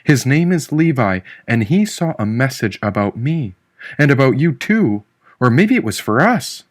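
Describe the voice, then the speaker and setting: A man's voice: medium pitch, very animated, unhurried. A man voicing an anxious, disbelieving young worker with an urgent, questioning way of talking, as an audiobook character.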